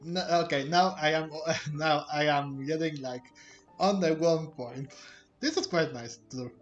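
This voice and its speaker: A man hosting a game playthrough with casual, halting commentary that often circles back and repeats. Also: on the high side, lo-fi sound. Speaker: gaming host. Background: music.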